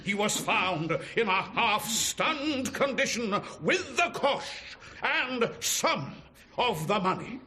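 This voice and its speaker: Earnest prosecuting barrister, bright animated voice, pompous, theatrical, unhurried, radio drama. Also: male voice, sits high, heavily acted. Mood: portentous. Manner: pompous, deliberate, theatrical phrasing.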